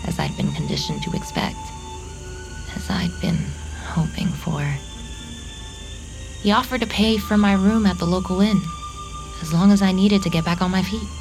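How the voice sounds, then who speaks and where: A woman playing an ageless, jaded female vampire in an audio drama, dry, haughty and deadpan. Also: mid-range voice, normal speed, pauses often, music in the background, lightly acted. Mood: wistful, cool. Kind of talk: naturalistic audio drama acting.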